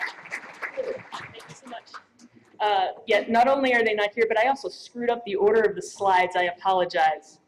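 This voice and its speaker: A woman's voice: medium pitch, moderate pace, slight background noise, rough recording. A woman making hesitant, impromptu thank-you remarks at a live ceremony. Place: live awards ceremony stage.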